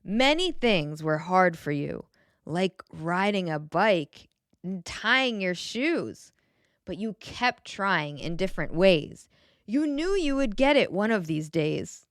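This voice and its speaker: A woman reading a children's picture book aloud in a gentle, expressive way, pausing to put questions to the young listener. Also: on the low side, no room sound, studio quality. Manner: gentle, expressive, clearly enunciated storybook delivery.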